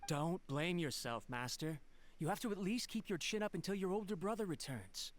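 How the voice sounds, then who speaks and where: A man's voice: high voice, animated, normal speed. A man voicing an anxious, devoted retainer in a video game, deferential and solicitous toward his master. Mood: worried, consoling. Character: anxious, devoted male retainer of a noble house. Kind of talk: dramatic game dialogue. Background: soft game music.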